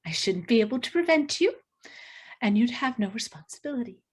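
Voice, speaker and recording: An actress plays a timid, hopeful spinster niece in a drama table read. Her voice is slightly high and breathy, and she speaks with nervous, earnest hesitancy. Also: expressive, a little fast, a little mellow. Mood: pleading.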